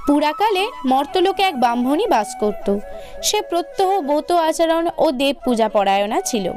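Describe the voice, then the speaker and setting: A woman reading a scripted religious tale as a storyteller, in a formal, measured way. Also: sits high, sing-song, darkish tone. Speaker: devotional storyteller. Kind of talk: scripted religious narration for cartoon video. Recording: music under the voice. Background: background music.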